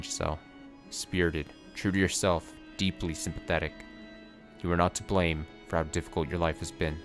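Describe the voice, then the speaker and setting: A man voicing a tormented young knight, speaking in a solemn, tender way that sometimes turns shaky. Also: sits low. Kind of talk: dramatic reading of a story.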